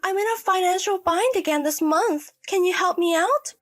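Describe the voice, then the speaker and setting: A woman's voice: very high-pitched, moderate pace, pitched above the performer's own voice. A woman voicing a selfish, irresponsible young single mother in a story drama, wheedling and petulant. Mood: pleading, whiny. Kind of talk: acted dialogue in a narrated drama story.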